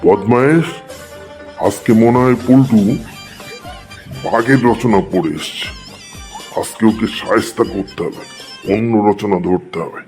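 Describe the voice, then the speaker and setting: A man's voice: fairly low pitch, measured pace, long pauses, darkish tone, soft background music, lightly acted. An actor playing an exasperated male schoolteacher in a comedy cartoon, bent on catching out his pupils. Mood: scheming, annoyed.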